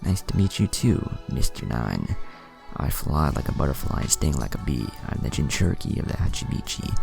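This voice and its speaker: A man reads as a showy, boastful ninja fighter with a very low voice. The lines swing up and down in pitch, as storybook dialogue over music. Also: big pitch swings, normal speed, gravelly, exaggerated voice. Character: loud, boastful rhyming male ninja fighter.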